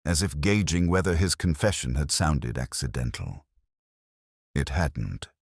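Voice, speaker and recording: A man playing a brooding, world-weary police inspector, narrating a mystery in measured, literary, ruminative first person. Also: rumbling low, no echo, studio quality, full character voice.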